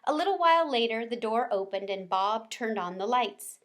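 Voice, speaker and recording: A woman's voice: fairly high pitch, lively intonation, moderate pace. A woman narrating a children's book as a read-aloud storyteller.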